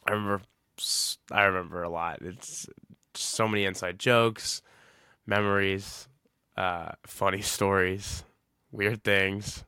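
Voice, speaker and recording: A man's voice: sits low, flat delivery, slow pace, sharp tone, smooth, no room sound, studio quality. A man in his twenties hosting a solo podcast, talking casually and reflectively, rambling and often correcting himself. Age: 20s. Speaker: podcast host.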